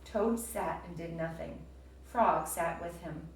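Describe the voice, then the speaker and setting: A woman's voice: lowish voice, slow. A woman who sounds like a teacher, narrating a storybook and speaking directly to young listeners. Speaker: teacher reading aloud to children. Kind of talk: storybook narration and comprehension prompts. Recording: roomy, distant, faint background noise.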